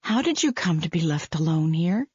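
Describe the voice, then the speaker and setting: A woman's voice: low voice, big pitch swings, normal speed, a little mellow, breathy edge, no room sound, highs cut off, full character voice. A woman reading a caring friend who soothes and steadies a grieving mother. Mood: concerned. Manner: soothing, firm reassurance.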